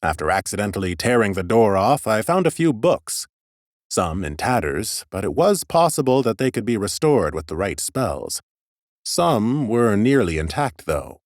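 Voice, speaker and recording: A man reading expressive first-person scripted narration as an audiobook narrator. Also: fairly low pitch, moderate pace, dry recording, studio quality.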